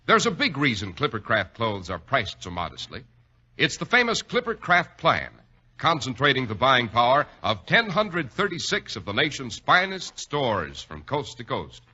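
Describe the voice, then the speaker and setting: A man's voice: mid-range voice, sharp tone, slightly rough. An American man announcing and hosting in 1940s radio style, with polished, emphatic salesmanship. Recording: dull 1940s radio recording.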